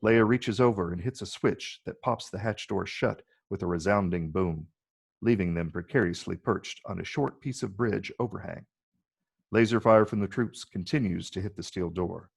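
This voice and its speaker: Male narrator for a script reading: measured, descriptive, husky with a creaky low edge. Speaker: narrator reading stage directions. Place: virtual read, recorded remotely.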